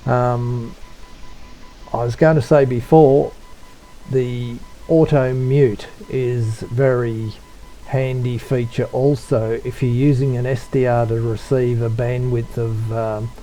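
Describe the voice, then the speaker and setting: A man giving an unscripted software tutorial, talking in a low-key, hesitant way as if thinking aloud. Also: level tone, slow, soft background music, low-quality recording. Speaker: tutorial presenter, radio hobbyist.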